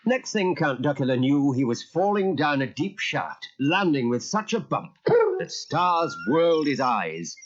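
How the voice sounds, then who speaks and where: A man's voice: on the high side, huge pitch swings, unhurried. A man reading a scripted children's story as a dramatic narrator. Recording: dull lo-fi cassette transfer.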